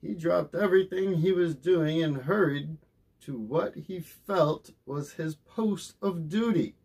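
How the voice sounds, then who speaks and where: A middle-aged man narrating a children's bedtime story in an expressive, theatrical way. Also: on the high side, slow pace, husky, poor audio. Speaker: storybook reader.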